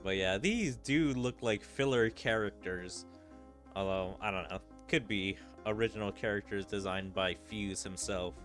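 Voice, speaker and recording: A man's voice: fairly low pitch, unhurried, fairly bright tone. A man giving casual let's-play commentary, musing and thinking aloud as he goes. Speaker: gaming video creator. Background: game music.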